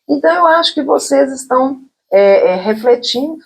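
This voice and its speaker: A woman's voice: high-pitched, lively intonation, normal speed, gravelly. A middle-aged woman from Minas Gerais with its accent, hosting a casual interview and chatting with many fillers and restarts. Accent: Minas Gerais Portuguese. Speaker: host.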